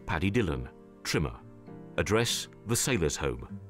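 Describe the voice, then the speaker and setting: A man's voice: low-pitched, big pitch swings, unhurried, a bit bright, faint music behind, exaggerated voice. An actor playing a sombre male news reader from 1912, delivering formal telegram bulletins in a radio drama.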